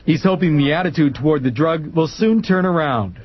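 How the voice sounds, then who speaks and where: A man delivers a TV news report in a measured, crisp voice pitched a little high. The broadcast sound is muffled. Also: animated.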